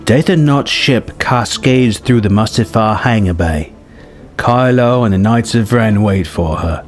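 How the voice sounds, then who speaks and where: A man's voice: lowish voice, measured pace, faint music behind. A man narrating a scripted story in a dramatic style. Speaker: narrator reading a film story treatment.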